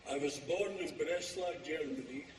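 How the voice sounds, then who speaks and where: An older man from Poland giving a slow, halting, plainspoken personal testimony as a keynote speaker at a live event. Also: on the high side. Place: large hall, live event. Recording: echoey hall from far off with noise.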